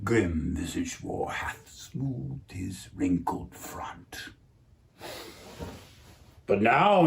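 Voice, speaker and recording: A man's voice: mid-range voice, creaky, darkish tone, full character voice. A man acting a bitter, scheming, misshapen royal villain in a brooding, theatrical Shakespearean stage monologue.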